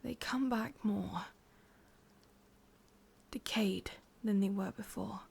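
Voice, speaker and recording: Woman acting breathy, whispering English witch. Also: medium pitch, heavily acted. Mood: solemn, warning. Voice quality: soft, breathy, mostly whispered. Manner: slow, halting, confiding. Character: sorrowful, obsessive female witch, tender yet menacing. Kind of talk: ASMR roleplay, intimate whispered monologue.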